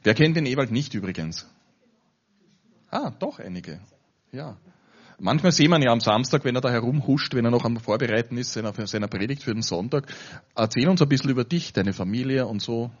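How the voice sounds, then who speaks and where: A man's voice: mid-range voice, animated, a little fast, slightly rough. An Austrian man hosting at a church, chatting colloquially as he introduces and interviews a guest. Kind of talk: informal live interview and announcement. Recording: dull, lo-fi sound.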